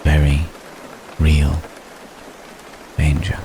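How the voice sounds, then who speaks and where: A man playing an ancient, brooding, remorseful vampire gentleman in an intimate ASMR roleplay, speaking formally and solemnly with long, measured pauses. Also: a bass voice, creaky, heavily acted. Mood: grave, warning. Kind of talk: ASMR roleplay, intimate slow dramatic monologue. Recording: steady rain noise behind the voice. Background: rain.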